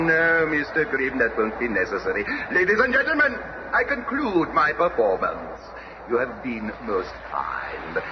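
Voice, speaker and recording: Grandiose villain magician: mocking, declamatory, huge swings in pitch, on a muffled, noisy old radio recording. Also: male voice, huge pitch swings, slow. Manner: pompous, taunting, declamatory. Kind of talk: 1970s superhero radio drama acting.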